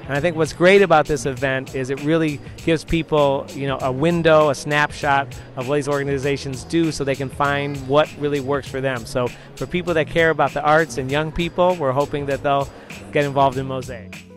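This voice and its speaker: A man with a fairly high voice explains his nonprofit in a short testimonial. He speaks earnestly and often restarts his words. Faint music sits under him. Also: steady tone, a little fast. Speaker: nonprofit founder and CEO. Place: event venue.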